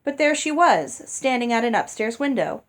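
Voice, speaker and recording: A woman's voice: on the high side, sing-song, normal speed. Earnest young storybook prince, read by a woman. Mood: confused, indignant. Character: earnest, puzzled young prince writing his journal. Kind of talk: storybook journal read aloud.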